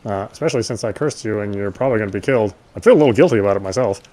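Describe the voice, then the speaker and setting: A man's voice: fairly low pitch, racing, a little mellow, low-quality recording. A man reading a visual novel's narration aloud with casual asides, sometimes stumbling and rereading.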